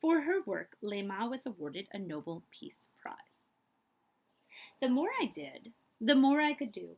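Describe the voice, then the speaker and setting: Female read-aloud storyteller, highly animated pitch swings, emphatic delivery for a children's picture book. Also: measured pace. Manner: expressive, emphatic storytelling with brief asides. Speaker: librarian reading a book aloud.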